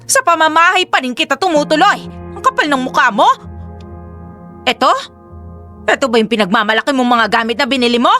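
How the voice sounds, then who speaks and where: A woman's voice: high voice, a little fast, pauses often, pushed and bright. An actress playing a domineering, judgmental middle-aged mother in a Tagalog radio drama, scolding and melodramatic. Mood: furious. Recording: faint background music.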